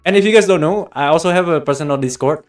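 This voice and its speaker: A man from Australia hosts a casual game livestream. His voice sits a little higher than average for a man, and he talks in a chatty way straight to his viewers. Faint music plays behind him. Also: fast talker. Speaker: livestream host and guide maker. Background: background music.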